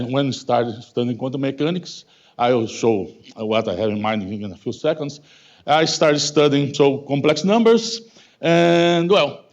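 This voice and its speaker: Halting Brazilian-accented male lecturer. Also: a little high, highs cut off. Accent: Brazilian Portuguese. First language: Portuguese. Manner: halting, self-correcting, says "okay?". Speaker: guest lecturer. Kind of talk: academic talk. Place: lecture hall.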